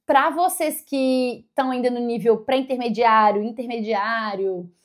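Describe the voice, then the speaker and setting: A woman's voice: on the high side, unhurried. A woman from Brazil giving a casual vlog update, chatty, with many fillers and self-corrections. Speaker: vlogger.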